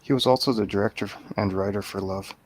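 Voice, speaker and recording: A man's voice: fairly low pitch, steady tone, fast, poor audio. A man in a casual movie review chat, offering brief, factual interjections. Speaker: show panelist.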